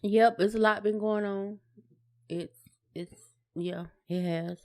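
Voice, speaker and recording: A woman with a mid-pitched voice chats casually as a podcast co-host. She is laconic, replying in short, low-key phrases. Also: steady tone, takes it slowly. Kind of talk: casual chat.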